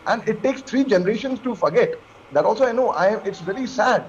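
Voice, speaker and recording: A man with an Indian accent giving his opinion as a guest on a news debate, speaking emphatically and haltingly with repeated phrases. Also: sits high, lively intonation. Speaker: panel guest commentator. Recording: dull lo-fi call audio, clear noise.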